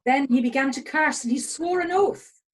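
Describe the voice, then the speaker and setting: A woman's voice: high-pitched, moderate pace, slight background noise. A woman reading scripted scripture narration. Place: online video meeting from home.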